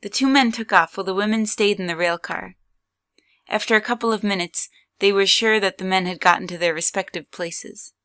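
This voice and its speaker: A woman's voice: medium pitch, a little fast, sharp tone, smooth. A woman reading scripted third-person story narration as the narrator of an audio drama.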